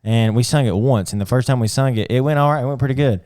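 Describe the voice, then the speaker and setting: A man's voice: mid-range voice, fast talker, darkish tone. A man co-hosting a casual chat, joking and full of folksy sayings. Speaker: podcast co-host.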